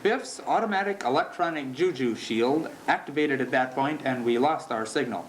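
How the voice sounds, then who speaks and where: A man acts as a deadpan sportscaster on a comic futuristic newscast, reading sports news from a script. His voice is medium in pitch, captured on a slightly noisy amateur recording. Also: moderate pace, close to an everyday voice.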